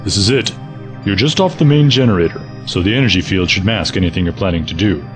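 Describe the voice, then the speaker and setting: An actor playing an unassuming head janitor in an audio drama, laconic and low-key. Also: male voice, fast talker, close to an everyday voice. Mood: matter-of-fact. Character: unassuming, dry head janitor, secret ally. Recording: loud music under the voice.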